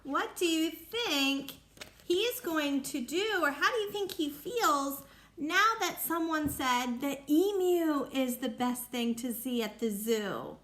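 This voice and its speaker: High-voiced woman teacher talking to children. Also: lively intonation, slow pace, fairly bright tone. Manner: explanatory, asking questions. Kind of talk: talking to young children about a story.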